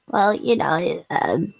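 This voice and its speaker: Hoarse low-voiced woman, slow and croaky. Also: poor audio. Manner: halting, whispery asides, laughs often. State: hoarse, has lost her voice. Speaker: streamer hosting a supporter stream. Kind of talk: casual chat.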